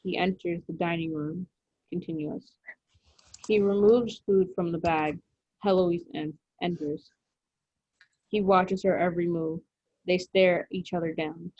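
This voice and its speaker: A woman's voice: fairly low pitch, steady tone, unhurried, long pauses, breathy edge, no room sound. A woman reading screenplay stage directions as scripted narration, on a dull, dry recording.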